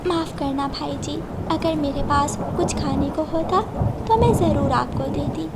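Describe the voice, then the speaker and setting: A woman voicing a gentle, hardworking mother bird in a cartoon, polite and pleading in manner. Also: high-pitched, measured pace, heavy background noise. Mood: sad, apologetic.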